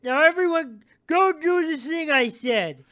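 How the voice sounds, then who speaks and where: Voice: sits high, lively intonation, measured pace, fairly bright tone. An actor playing a childish, whimsical, bossy king in a comic audio sketch, flippant and slangy. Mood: pompous proclaiming. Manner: flippant, slangy royal proclamations.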